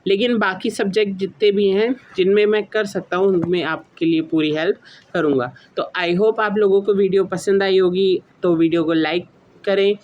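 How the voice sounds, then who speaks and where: A man's voice: high voice, fairly even intonation, moderate pace, dull audio, a little hiss or hum. A young man giving informal, explanatory advice to students, mixing in English words and explaining in a rambling, repetitive way. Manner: mixes English words into speech. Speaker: student YouTuber giving exam study advice.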